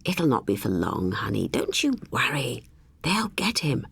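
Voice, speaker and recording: A woman's voice: a bass voice, sing-song, measured pace, gravelly, a bit bright, husky, no room sound, heavily acted. A woman voicing a patient, reassuring female agent and close companion in an audiobook. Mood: comforting.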